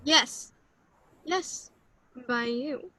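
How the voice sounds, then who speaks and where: A would-be suave spy at the gambling table, acted by a woman in a comic reading. The voice is high and bright, close and dry, with a self-important swagger. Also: extremely slow, no echo, exaggerated voice. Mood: flirtatious. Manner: self-important, flustered when challenged. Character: cocky, would-be suave secret agent gambler. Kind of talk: comedic live play reading.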